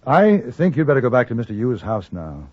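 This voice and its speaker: A man playing a polite, reserved, cool-headed gentleman in a 1950s radio western, speaking precisely. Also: medium pitch, big pitch swings, brisk, no echo. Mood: hesitant. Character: courteous, cool-headed gentleman adventurer in the Old West. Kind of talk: 1950s radio drama acting and narration. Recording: dull old 1950s radio recording.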